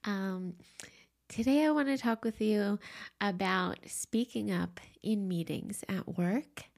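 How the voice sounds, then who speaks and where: A woman hosting her own podcast in a soft, quiet voice, a little stuffy and sniffly from allergies, talking gently with frequent ums and restarts. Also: mid-range voice, takes it slowly, creaky. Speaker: podcast host and life coach. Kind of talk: solo podcast monologue.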